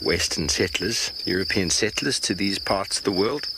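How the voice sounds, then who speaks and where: Male safari guide, very low voice, calm explainer, loud insect buzz all around. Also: normal speed. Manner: relaxed, explanatory, mild self-correction. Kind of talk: live nature commentary. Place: open game drive vehicle in the bush at dawn. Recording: loud outdoor insect noise. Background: loud insects and crickets.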